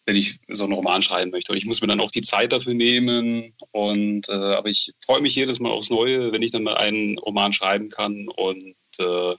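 Bright-voiced man chatting in an interview. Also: mid-range voice. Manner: halting, searching for words, frequent tag questions. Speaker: novelist and TV writer, interview guest. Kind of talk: casual interview chat, storytelling.

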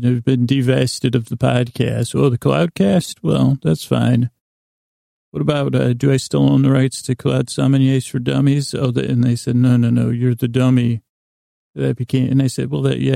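Gravelly man, rambling podcast monologue. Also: mid-range voice, warm tone, dry recording, clean studio sound. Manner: meandering, halting, self-deprecating. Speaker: podcast host.

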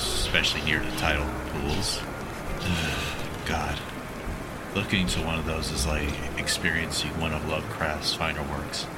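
A man playing a world-weary mercenary who recounts dangerous jobs in an audio drama, with a grim storytelling manner. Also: rumbling low. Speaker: interview guest.

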